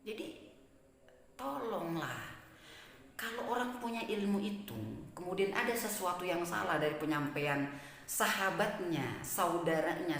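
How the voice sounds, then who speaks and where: A woman's voice: deep voice, fairly bright tone, slight background noise. A woman with an Indonesian accent giving informal, opinionated commentary in an indignant, scolding manner full of rhetorical questions. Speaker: reaction video host. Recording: very echoey hall-like reverb.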